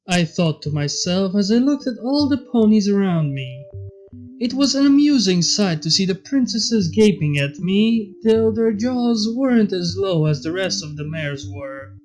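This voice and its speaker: A man's voice: high voice, lively intonation, measured pace, darkish tone, poor audio. A man reading fan fiction aloud as scripted story narration.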